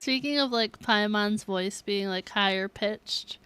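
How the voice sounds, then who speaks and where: A woman's voice: mid-range voice, a little slow, fairly bright tone, a little hiss or hum. A woman chatting casually on a game livestream, informal and reacting as she plays. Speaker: game streamer.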